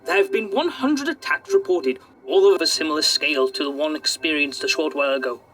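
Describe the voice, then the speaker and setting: A man's voice: fairly high pitch, brisk, a bit bright, a little hiss or hum, lightly acted, rough recording. A man playing a pedantic, senile Welsh robot AI in a comic sci-fi audio drama. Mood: matter-of-fact, disdainful. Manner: pedantic, wordy, over-precise with figures. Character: fussy, senile male robot AI running galactic control centres.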